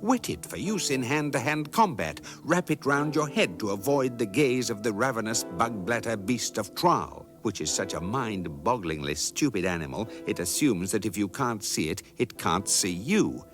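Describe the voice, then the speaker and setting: Casting: deadpan British guidebook narrator, lowish voice, lively intonation, sci-fi radio comedy with faint music. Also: male voice. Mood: deadpan, instructive. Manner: deadpan, measured, articulate. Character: wry, dry electronic guidebook narrator. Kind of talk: scripted comic radio narration.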